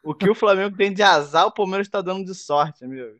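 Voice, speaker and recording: Brazilian man, fairly high voice, casual football talk. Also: quick pace, a bit bright, dry recording. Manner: slangy, opinionated. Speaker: football podcast commentator.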